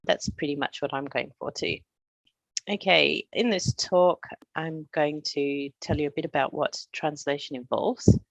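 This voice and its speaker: A middle-aged woman from Australia, a translator, giving a prepared presentation in a measured, explanatory way with touches of humor. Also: deep voice, steady tone, creaky, dry recording, lo-fi sound.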